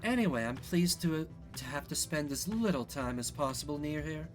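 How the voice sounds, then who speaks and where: A man's voice: on the high side, animated, a little fast, soft background music, lightly acted. A man voicing a world-weary winged harpy woman in a fantasy game, with a wry, guarded, drawling delivery. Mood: wistful, uneasy.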